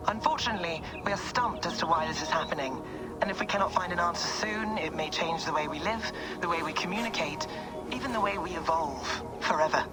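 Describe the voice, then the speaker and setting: An actor playing a worried scientist giving a grave, formal lecture, heard in a video game news report. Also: male voice, on the high side, brisk, close to an everyday voice. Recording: broadcast clip with loud music over it.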